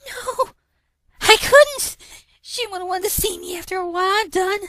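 A man voicing a young female pony, distraught and guilt-ridden, in a strained falsetto for a story read-aloud. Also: unhurried, pushed and bright, heavily acted. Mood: panicked, pleading. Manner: anguished, pleading. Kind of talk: fan fiction read-aloud.

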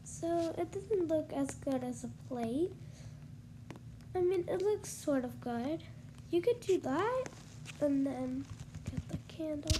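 A woman's voice: high voice, animated, slow, long pauses, background noise. A young woman with a congested, stuffy voice, chatting casually through a tour of a house she built in a game, full of ums and likes. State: congested from allergies. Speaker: gaming YouTuber.

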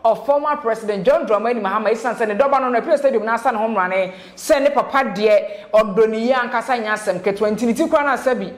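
A woman's voice: on the high side, fast talker, hardly any pauses. A young woman with a Ghanaian accent, hosting and presenting gossip news commentary. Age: young adult. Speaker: gossip show host. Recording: roomy indoor echo.

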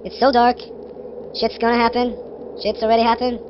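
A man's voice: very high voice, monotone, brisk, muffled recording, noisy background. Egyptian-accented young man reading a text game. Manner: chatty, laughs at himself, reads narration with dramatic flair. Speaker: gaming video host. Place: bedroom. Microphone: headset.

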